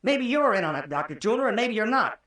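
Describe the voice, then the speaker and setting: A man's voice: high-pitched, very animated, fast, bright, forceful tone, exaggerated voice. An actor playing an earnest, suspicious young American hero, protective of his sweetheart, in an old-time radio horror drama. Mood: accusing, insistent. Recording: roomy, distant old radio recording.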